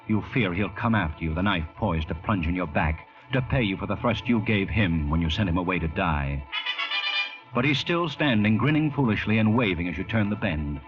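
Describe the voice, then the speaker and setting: An actor playing a fearful inner voice, narrating a fugitive's flight in dramatic second-person radio suspense style. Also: male voice, lowish voice, natural voice. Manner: dramatic, urgent. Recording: muffled old radio, music behind.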